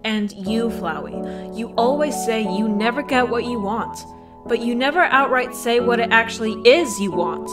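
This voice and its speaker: A woman's voice: a little high, moderate pace, music in the background, lightly acted. A woman playing a firm, motherly character who pleads earnestly and emphatically in a fan comic dub. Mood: firm, coaxing.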